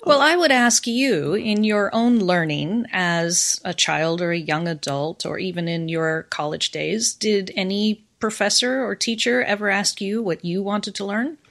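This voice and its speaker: A woman's voice: medium pitch, unhurried, gravelly, few pauses, fairly bright tone. A middle-aged American woman, a university professor, talking as a podcast guest in a friendly, easy way. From United States. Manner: friendly, laughs often while explaining. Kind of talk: casual podcast conversation.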